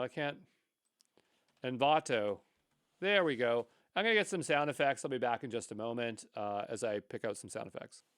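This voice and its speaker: A man's voice: medium pitch, fairly bright tone, dry recording, clean studio sound. A man giving an unscripted coding tutorial, thinking aloud in a rambling way with mildly exasperated asides. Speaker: tutorial instructor.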